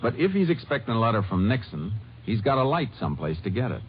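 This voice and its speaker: An actor playing a steady, determined Texas Ranger lawman in a 1950s radio drama, terse, assured and matter-of-fact. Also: medium pitch, quick pace, a little hiss or hum, lightly acted. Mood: confident reasoning. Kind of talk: 1950s radio drama acting and first-person narration. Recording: muffled 1950s radio broadcast, music behind.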